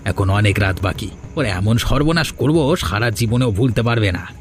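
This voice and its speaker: A man's voice: on the low side, expressive, normal speed, lightly acted. A man voicing a bullying but cowardly village schemer in a cartoon. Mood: scheming. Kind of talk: cartoon voice acting.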